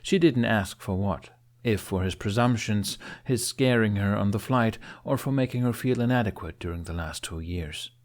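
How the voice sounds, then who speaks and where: A man narrates a fantasy story in a fairly low voice. His delivery is scripted and expressive, in the manner of an audiobook.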